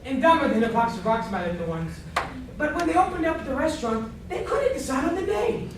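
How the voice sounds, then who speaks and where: A lively, fairly high voice rings through a very echoey, noisy space. A woman plays a pompous male don in a stage comedy, spinning family legend with grandiose, insulting emphasis. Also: brisk. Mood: pompous, scornful. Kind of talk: amateur stage comedy acting. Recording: very echoey stage, distant mic, clear noise.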